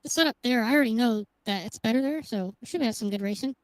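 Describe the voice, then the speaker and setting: A woman's voice: fairly high pitch, fast talker, dry recording, low-quality recording. A woman race driver giving brief, shy answers in a post-race interview.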